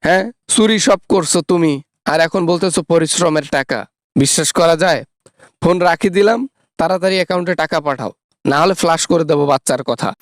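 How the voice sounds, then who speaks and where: A greedy, sarcastic grown son, acted by a man in a political parody skit. His voice is very high for a man, recorded dry. He scolds and demands with open scorn. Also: a little fast, no echo, natural voice. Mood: demanding. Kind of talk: satirical phone-call parody skit.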